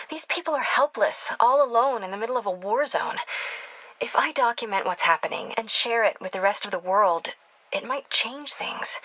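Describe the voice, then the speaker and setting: A driven young woman reporter from a video game. Her voice is bright and crisp-edged, recorded very dry. She talks in a natural, confiding way, caring and a little wry, as if on the phone to someone close. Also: medium pitch, dry recording, rough recording. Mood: concerned, determined. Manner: conversational, earnest, confiding.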